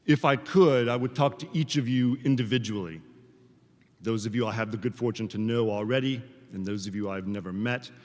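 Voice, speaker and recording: A man delivers a formal commencement address as a professor, speaking in measured, deliberate phrases with rhetorical pauses. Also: fairly low pitch, darkish tone. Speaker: professor giving a commencement speech. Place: large hall at a graduation ceremony. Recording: reverberant large hall, amplified speech.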